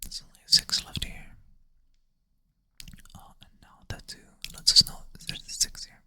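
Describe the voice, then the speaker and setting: Soft whisper, sleepy. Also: unhurried. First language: Swedish. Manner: soft whisper, thinking aloud, self-deprecating. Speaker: ASMR creator. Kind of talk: whispered ASMR puzzle play-through. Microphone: close ASMR mic.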